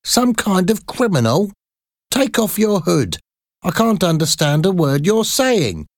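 A man voicing a hostile grey-haired old woman who sells flowers, scolding and shrill. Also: sing-song, measured pace, a little mellow, dry recording, clean studio sound. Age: older.

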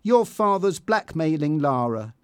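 A man acts a guarded young woman in an audiobook story. The voice is lifted high and moves in a lively way, earnest and defensive with a jealous streak. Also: unhurried, smooth, no room sound, studio quality. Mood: insistent.

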